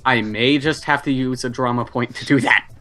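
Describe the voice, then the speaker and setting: A man with a bright, pointed voice at an average pitch talks as a player in a tabletop game. He explains his moves conversationally over background music. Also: fairly even intonation, normal speed. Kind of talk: casual explaining in a game session.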